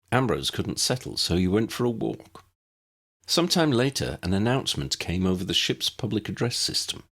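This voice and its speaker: Guy narrating a story, low gravelly voice, expressive storyteller. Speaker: audiobook narrator and author.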